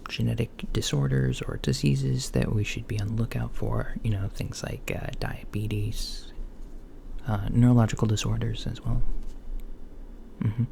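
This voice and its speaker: A soft-spoken man playing a mild, curious alien doctor in an ASMR roleplay, hesitant and politely clinical, often dropping toward a whisper. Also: mid-range voice, level tone, measured pace, vocal fry. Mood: gentle, attentive. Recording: lo-fi with faint background noise.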